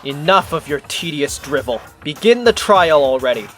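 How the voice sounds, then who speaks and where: A man's voice: fairly high pitch, lively intonation, normal speed, a bit bright, faint music behind. A man playing a haughty, impatient rich teenage boy in an anime fandub, pompous and dismissive. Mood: contemptuous. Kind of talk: anime game fandub voice acting.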